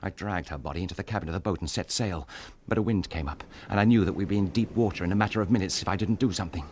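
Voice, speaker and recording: An actor playing a tormented English gentleman in a radio drama, speaking with intense, bitter, clipped delivery. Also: deep voice, rapid-fire, slight background noise, close to an everyday voice. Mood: grim, haunted. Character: tormented English gentleman husband confessing to killing his wife.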